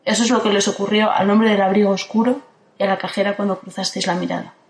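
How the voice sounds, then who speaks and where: A woman from playing a calm, ageless guardian who speaks in a steady, firm way in an audio drama. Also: mid-range voice, fast talker, close to an everyday voice. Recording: roomy echo.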